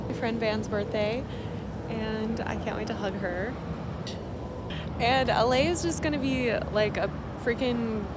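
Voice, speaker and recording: A woman chatting casually and excitably as a travel vlogger on a road trip, with bubbly, exclamatory delivery. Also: fairly high pitch, heavy background noise.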